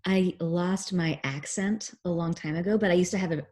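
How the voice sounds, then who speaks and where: A woman in her thirties from Oklahoma, a physician talking casually as a guest on a video call, relaxed and chatty with many fillers. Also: on the low side, normal speed. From Oklahoma, USA.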